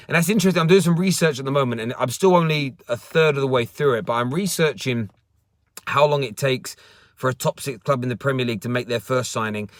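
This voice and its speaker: A man's voice: medium pitch, fairly bright tone, no echo, clean studio sound. A young man hosting a football talk stream from his parked car, chatting casually, often repeating words and restarting sentences as he answers viewer comments. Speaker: football channel host. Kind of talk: casual live stream chat.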